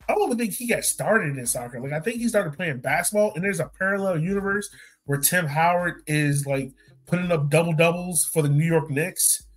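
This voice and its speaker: Higher-pitched man hosting a podcast. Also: a bit bright. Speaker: podcast host. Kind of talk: casual sports podcast chat.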